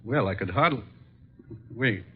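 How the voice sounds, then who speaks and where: An actor playing a polished, guarded middle-aged importer, articulate and courteous, in an old radio drama. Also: male voice, mid-range voice, animated, measured pace, lightly acted. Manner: articulate, courteous, self-assured. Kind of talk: 1940s-50s radio drama acting. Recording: dull old radio broadcast recording.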